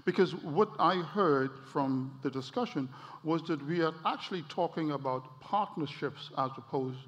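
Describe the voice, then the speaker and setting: A man moderating a conference panel, summing up points in a measured way with small repeats. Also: a little high, a bit bright. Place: conference hall. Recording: long reverb, slightly dull sound.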